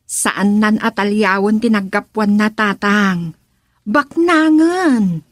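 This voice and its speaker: A woman's voice: mid-range voice, slow pace, no room sound, studio quality. A woman acting a concerned daughter, earnest and confiding, in an Ilocano radio drama.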